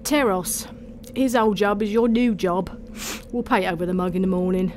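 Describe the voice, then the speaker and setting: A performer voicing a gruff, grimy little gothic elf from a storybook, brusque and wry. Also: medium pitch, steady tone, normal speed, a little hiss or hum, pitched above the performer's own voice, lightly acted. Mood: briefing, wry. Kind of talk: storybook character voice.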